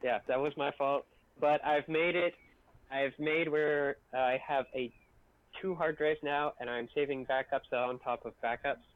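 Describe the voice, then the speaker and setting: A man's voice: medium pitch, fairly even intonation, measured pace, dry recording, phone-quality sound. A man rambling casually and self-deprecatingly about his work on a group livestream. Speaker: video editor and voice actor.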